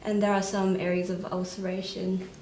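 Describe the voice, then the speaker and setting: A woman's voice: mid-range voice, level tone, moderate pace, darkish tone. A woman speaking tentatively and politely as an exam candidate, examining a patient and presenting clinical findings. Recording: echoey, distant room recording with noise.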